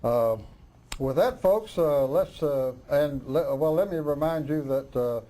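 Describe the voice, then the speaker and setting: A middle-aged man hosting a local candidate forum, talking haltingly with many "uh" fillers. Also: a little high, warm tone. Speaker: talk show host.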